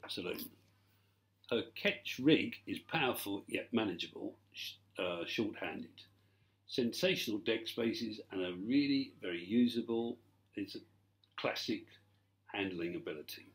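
A man narrating a semi-improvised sales tour as a broker, effusive but halting in his delivery. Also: deep voice. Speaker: yacht broker.

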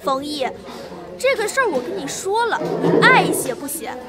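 A young woman playing a lively, bossy, teasing high school girl in an amateur audio drama. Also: normal speed, over loud music, a loud crowd. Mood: teasing, pushy.

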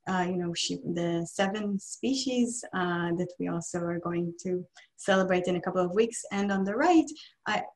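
A woman's voice: fairly low pitch, moderate pace, a little mellow. A woman in her thirties whose first language is Hebrew, talking as a museum curator. She starts and restarts her sentences often. Her voice comes over a laptop mic on a video call from a small room. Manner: hesitant, many restarts.

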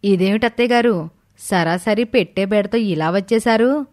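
A woman's voice: mid-range voice, normal speed, darkish tone, natural voice. Woman playing a two-faced, scheming daughter-in-law. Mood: falsely sweet.

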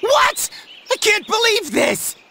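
Whiny teenage boy, very animated. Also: very high-pitched, slow, creaky, noisy background, exaggerated voice. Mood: agitated. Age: young adult. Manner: whiny, exaggerated. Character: dramatic, excitable teenage boy, a slacker friend. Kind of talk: anime game voice acting. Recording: loud game music behind.

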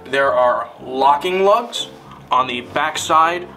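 Slow, bright-voiced male instructor. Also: medium pitch, recorded from a distance, soft background music, low-quality recording. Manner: deliberate, step-by-step, formal. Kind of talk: scripted instructional narration.

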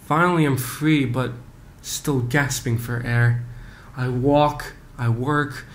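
A man in his late teens, a student, solemnly reading a poem in deliberate phrases into a webcam at home. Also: steady tone. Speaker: student poet. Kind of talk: poetry reading. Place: living room, close webcam. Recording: faint rumbling background noise.

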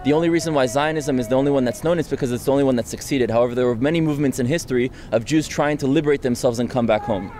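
A young man from France speaks fast and urgently in an outdoor street interview, pressing his points with a repeated "right?". His voice sits at a middle pitch and is picked up close on a handheld mic, with traffic faintly around. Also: a little mellow. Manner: fast, insistent, argumentative, frequent tag "right?". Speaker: interviewee, student activist. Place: outdoors on a city campus street. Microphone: handheld mic held close by interviewer.

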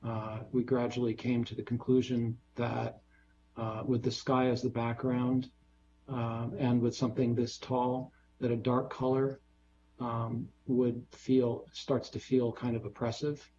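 Male designer, mellow husky voice, unhurried technical walkthrough. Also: medium pitch, completely flat delivery, lo-fi sound. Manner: measured, explanatory, many fillers. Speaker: bridge designer presenting to a board. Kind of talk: technical presentation on a video call. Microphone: video call.

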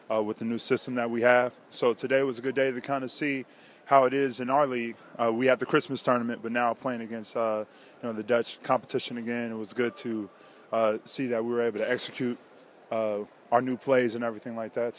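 A young man, a basketball player, answering thoughtfully in a relaxed way after a game, standing in an indoor arena. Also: medium pitch, a little hiss or hum. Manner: relaxed, thoughtful, many fillers. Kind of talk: post-game interview.

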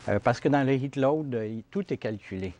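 Halting Canadian French man in his fifties. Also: medium pitch, darkish tone, lo-fi sound. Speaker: heating contractor interviewed as guest. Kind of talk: explanatory interview talk. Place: TV studio set, seated on sofa.

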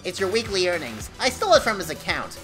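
Pushy cartoon demon played by a woman, indignant schemer with a piercing, forceful edge. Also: fairly low pitch, very animated, fast, faint music behind, low-quality recording. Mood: smug. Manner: insistent, goading. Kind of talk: animated dark comedy dialogue.

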